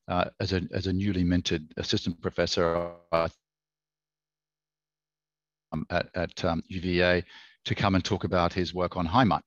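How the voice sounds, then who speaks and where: A very low-voiced Australian man speaks in a thoughtful academic conversation, pausing on fillers and restarting phrases as he works through ideas. The recording is dry and slightly dull, like a lo-fi online call. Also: dry recording. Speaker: author and historian guest.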